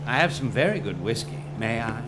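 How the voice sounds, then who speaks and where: A man playing a haughty, evasive gentleman archaeologist in a macabre audio drama, with an arch, sardonic, pompous delivery. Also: medium pitch, big pitch swings, fairly quick, noisy background, lightly acted. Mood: dry, suave. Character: haughty, evasive gentleman archaeologist, scornful male rival named Stanley.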